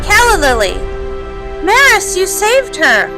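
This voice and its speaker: A woman voicing a loyal, caring, determined young sea-creature sister in an animated comic dub. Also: extremely high pitch, slow, over music, full character voice. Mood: urgent.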